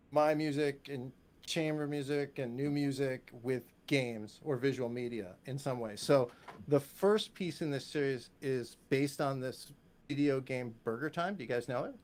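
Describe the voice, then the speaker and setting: A man's voice: medium pitch, darkish tone. A man chatting casually as a guest on a stream, talking in a chatty, rambling way. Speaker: composer, interview guest.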